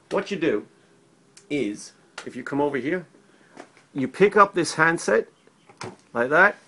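A man with a medium-pitched voice gives an informal practical demonstration in the role of an electrician. He speaks conversationally, going back over his points and regularly checking that the listener is following. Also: a bit bright. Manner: conversational, repeats himself, checks in with "right?". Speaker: electrician demonstrating intercom setup. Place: indoors at a work table. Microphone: handheld camera held by another person, a short way off.

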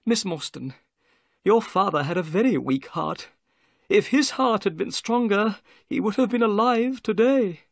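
A man voicing a nervous, excitable little bald man, fretful and eager, dramatically telling his tale in an audiobook story. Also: measured pace, creaky, no echo, studio quality, exaggerated voice. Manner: exclamatory, high-strung, dramatic storytelling.

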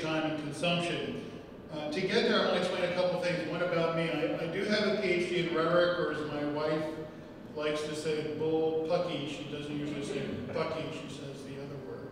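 A man's voice: on the high side, noisy background. Middle-aged male lecturer, wry and chatty, podium mic in a reverberant hall. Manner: wry, self-deprecating, conversational. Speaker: guest lecturer. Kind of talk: public lecture. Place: large hall with arched windows. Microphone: podium microphone. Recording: echoey hall, far from the mic.

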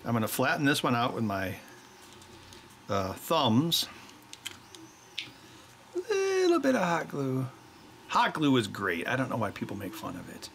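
A man's voice: medium pitch, wildly swooping pitch, unhurried, creaky, long pauses, a bit bright, slight background noise. Man chatting at a workbench. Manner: chatty, wanders into joking tangents. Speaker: electronics maker and show host. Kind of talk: casual explanation while working.

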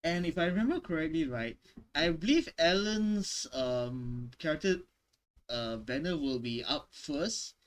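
A man's voice: on the high side, lively intonation, a little slow. A young man giving casual, unscripted reaction commentary on a headset mic, with hesitant, repetitive phrasing. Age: young adult. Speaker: reaction video host. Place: small room at home. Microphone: headset microphone. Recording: music behind the voice.

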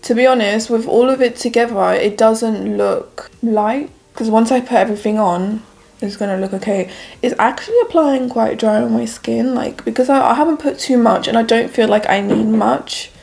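Chatty young woman with a croaky morning voice. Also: a little high, normal speed, darkish tone. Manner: chatty, rambling, addresses viewers as guys. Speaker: beauty vlogger. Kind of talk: casual makeup review talking to camera. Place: plain indoor room with plants.

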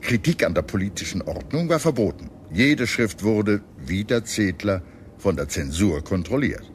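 A man reading a scripted history documentary in a measured, expressive storytelling manner. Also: lowish voice, a little hiss or hum. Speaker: documentary narrator. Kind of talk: scripted documentary narration with dramatized quotes. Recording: music under the voice. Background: background music.

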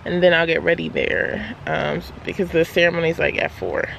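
A young woman chatting casually to a vlog camera, sounding very tired, her voice sunk lower than usual. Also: steady tone, normal speed, vocal fry, a bit bright, highs cut off. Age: young adult. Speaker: vlogger, PhD graduate. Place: outdoors by a house and in a car. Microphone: handheld camera at arm's length. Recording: noisy handheld camera outdoors and in car.

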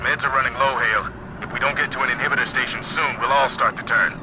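An actor playing a squad soldier in a video game, giving brisk military reports from battle. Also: male voice, mid-range voice, fairly even intonation, background noise, close to an everyday voice. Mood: worried. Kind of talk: dramatic video game voice acting.

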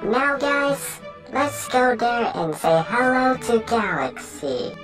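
Woman as a slow, lively cartoon organizer. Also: fairly high pitch, music in the background, rough recording. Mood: friendly.

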